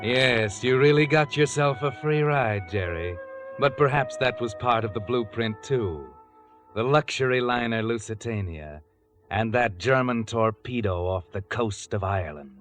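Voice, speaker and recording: An actor playing a sinister, all-knowing narrator who taunts the guilty in 1940s radio mystery style. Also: male voice, mid-range voice, unhurried, natural voice. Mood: sardonic. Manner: sardonic, addresses the character directly. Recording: 1940s radio broadcast, loud musical score. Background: dramatic music.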